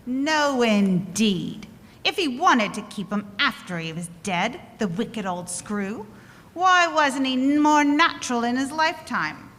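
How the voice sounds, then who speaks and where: A woman's voice: on the high side, very animated, slow pace, a bit bright, heavily acted. An actress playing a brazen, scornful working-class charwoman, indignant and theatrical, in a 1940s radio drama. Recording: echoey hall, faint background noise.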